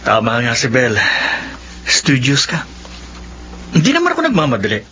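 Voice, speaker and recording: A man acting as an earnest, patient young suitor who courts gently. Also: medium pitch, huge pitch swings, moderate pace. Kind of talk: spoken drama acting. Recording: dull lo-fi audio with faint hum.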